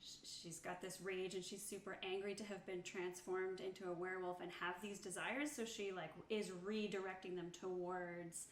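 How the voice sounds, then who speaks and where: A woman's voice: fairly low pitch, little pitch movement, moderate pace, hardly any pauses. A woman chatting casually as a player at a tabletop roleplaying session. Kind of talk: casual chat. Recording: echoey room with faint background noise.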